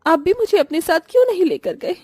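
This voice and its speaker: A woman's voice: very high-pitched, expressive, a little fast, a little mellow, no echo, studio quality, voice pushed up. A woman voicing an apologetic, easily hurt young daughter in a cartoon story, earnest and pleading. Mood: tearful pleading. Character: apologetic, hurt 25-year-old daughter, eager cook.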